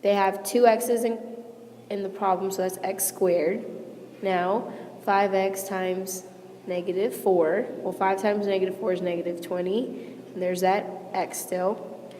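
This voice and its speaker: A woman teacher with a hoarse, failing voice, patiently walking students through a math lesson step by step and checking in with "okay?". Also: mid-range voice, fairly even intonation, measured pace, dark tone, big-room sound, slight background noise, low-quality recording. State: sick, losing her voice. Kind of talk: recorded classroom lesson.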